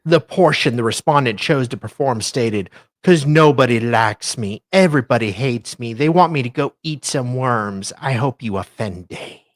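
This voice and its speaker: A man gives a dramatic reading of formal filings with a sardonic, mock-theatrical edge. His pitch sits in the middle range, and the recording is dry and close, with very little room sound. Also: normal speed, dry recording. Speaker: co-host doing a dramatic reading.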